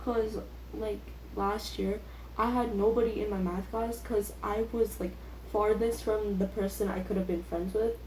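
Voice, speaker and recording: Mellow teenage girl rambling in echoey room. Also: mid-range voice, steady tone, a little slow. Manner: self-deprecating, filler-heavy youthful talk. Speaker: vlogger. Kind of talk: casual rambling chat. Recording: echoey room with faint background noise.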